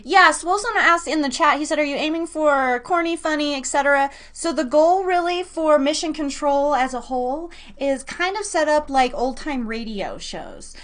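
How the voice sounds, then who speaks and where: A woman's voice: sits high, moderate pace, few pauses, a bit bright. A woman hosting a live radio show, chatting casually and playfully with listeners and adding mock-dramatic asides. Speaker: radio show and podcast host.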